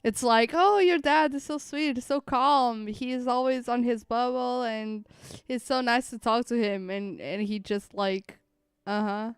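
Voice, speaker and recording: Streamer, young woman, smooth clear voice, dry close sound, chatty and giggly. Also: on the high side, steady tone, measured pace, no echo. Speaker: streamer reacting to a game. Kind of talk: casual reaction commentary.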